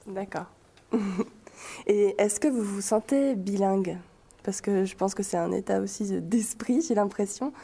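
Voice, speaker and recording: A woman's voice: mid-range voice, a little slow, vocal fry, mellow, slightly rough. A woman hosting a radio chronicle, asking polite, formal questions and signing off the show. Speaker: radio columnist and interviewer. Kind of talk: radio interview and sign-off.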